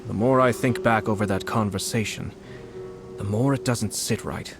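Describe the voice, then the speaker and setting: Brief: poised, shrewd young lord, polite and measured, mid-pitched, music playing underneath. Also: male voice, gravelly. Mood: calm. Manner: calm, measured, courteous formal speech. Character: composed young male noble commissioner, shrewd and polite. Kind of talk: game cutscene voice acting. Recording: dialogue over music score. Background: orchestral game music.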